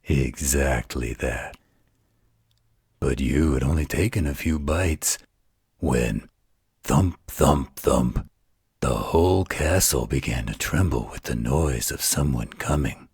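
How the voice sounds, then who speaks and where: A man playing a gentle, patient werewolf who tells a bedtime story in a soothing, tender manner. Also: a bass voice, slow pace, breathy edge, dry recording, studio quality, full character voice. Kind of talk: intimate bedtime roleplay storytelling.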